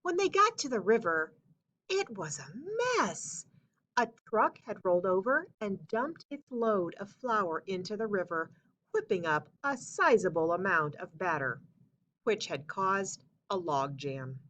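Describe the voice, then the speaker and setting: A woman reading a children's storybook aloud as narrator, with expressive, emphatic phrasing. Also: mid-range voice, measured pace, a little hiss or hum, rough recording. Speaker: story reader.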